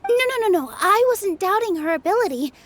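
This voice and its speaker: A woman playing a gentle, earnest young theatre dancer in a video game, soft and hesitant in manner. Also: extremely high pitch, very animated, fairly quick, full character voice. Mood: flustered. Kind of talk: video game character acting. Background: music.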